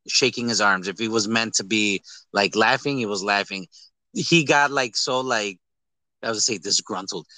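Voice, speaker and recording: Bright-voiced man chatting casually about movies. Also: medium pitch, no room sound. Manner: animated, conversational, acts out imagined quotes. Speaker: podcast host.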